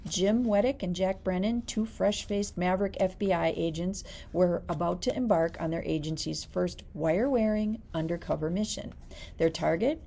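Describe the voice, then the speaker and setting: Creaky-voiced woman presenting book picks. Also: fairly low pitch, moderate pace, dark tone. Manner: reads prepared blurbs aloud. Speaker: librarian host. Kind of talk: reading book recommendations, light chat.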